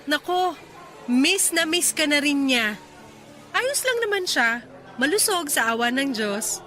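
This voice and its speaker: An actress playing Mylene, a hardworking young woman devoted to her mother, in a radio drama with soft music underneath. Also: sits high, expressive, measured pace, heavily acted.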